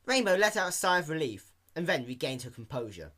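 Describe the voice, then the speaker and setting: A man narrating a story as an amateur fan fiction reader, in an animated scripted style. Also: a little high, brisk.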